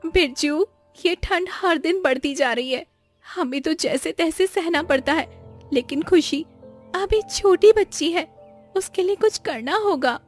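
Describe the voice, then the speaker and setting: Woman acting anxious high-voiced village wife. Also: a little slow, faint music behind. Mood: worried. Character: anxious young village wife and mother, pleading, worried. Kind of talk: cartoon story acting.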